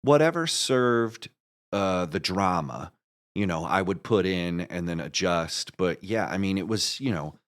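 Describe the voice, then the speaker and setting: Male interview guest, lower-pitched voice, casual and enthusiastic, explains his craft like a keen storyteller. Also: lively intonation, unhurried. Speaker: interview guest, audio drama creator.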